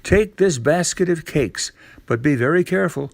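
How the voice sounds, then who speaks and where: A man voicing a caring storybook mother, gently firm as she cautions her child. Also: a little high, animated, normal speed, creaky, no echo, pitched above the performer's own voice. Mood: caring, cautioning. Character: caring mother warning her young daughter.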